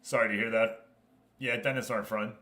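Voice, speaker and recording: A man's voice: lowish voice, fairly even intonation, fairly quick, pushed and bright, a little hiss or hum. Chatty American man livestreaming. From United States. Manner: chatty, hops between addressing viewers and explaining. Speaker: live streamer and host. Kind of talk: casual livestream chat with game commentary.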